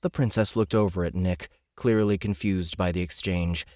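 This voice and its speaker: A man's voice: sits low, steady tone, normal speed, a little mellow, no room sound, clean studio sound. A man narrating a fantasy audiobook from a script.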